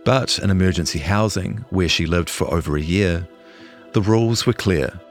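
A man's voice: low-pitched, moderate pace, faint music behind. A man reading a scripted longform news feature as a journalist narrator.

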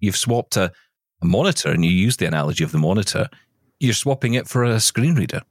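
A man's voice: fairly low pitch, lively intonation, fast, no room sound, studio quality. A man hosting a conversational interview, speaking emphatically and repeating phrases to stress his point. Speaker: show host interviewer.

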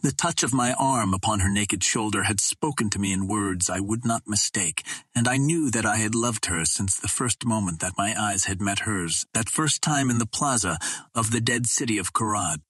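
A man reading an adventure novel aloud as first-person narration, in a measured, earnest literary manner. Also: on the low side, a bit bright, husky, dry recording. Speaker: audiobook reader.

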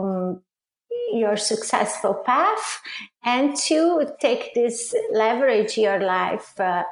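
A middle-aged woman from Brazil with a Brazilian accent, answering interview questions haltingly as she searches for words. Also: fairly high pitch, darkish tone. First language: Portuguese. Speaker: podcast guest, leadership coach. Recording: roomy, echoing sound.

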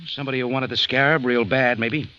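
A man's voice: mid-range voice, fairly even intonation, fast, close to an everyday voice. An actor playing a tough, wry hero who narrates his own story in a laconic, hard-boiled 1940s radio style. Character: tough, wry man running a cafe, first-person narrator. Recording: dull old radio recording.

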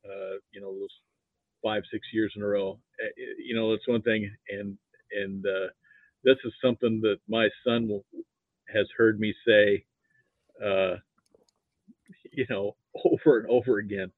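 An older American man chatting casually about sports as a podcast guest, halting and repeating himself, over a thin call connection. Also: on the low side, fairly even intonation, dry recording. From Nebraska, United States. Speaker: podcast guest, football fan. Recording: thin, narrow phone-like line.

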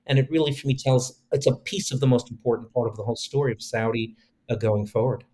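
A man's voice: medium pitch, fairly even intonation, fast talker, dark tone. A man speaking as an interview guest, earnest and discursive as he explains his views on business and culture. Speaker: venture capitalist guest.

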